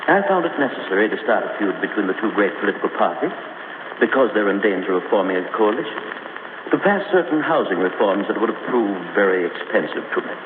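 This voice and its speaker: Coldly courteous villain, low animated voice, gloating menace, vintage radio drama. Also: male voice. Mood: smug, cold. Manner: mock-polite, measured menace. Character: gloating, coldly courteous male criminal mastermind. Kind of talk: 1940s radio acting. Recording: noisy, echoing, tinny 1940s radio recording.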